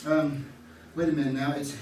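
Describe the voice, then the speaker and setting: A man's voice: fairly high pitch, flat delivery, moderate pace, a little mellow, distant mic, a little hiss or hum, close to an everyday voice. A man playing a stammering, flustered quiz contestant. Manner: hesitant, stammering, repeats himself. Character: flustered husband stumbling through a quiz. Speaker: game show contestant. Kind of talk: old-time radio stage acting. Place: theater stage. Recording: roomy live theater recording with audience.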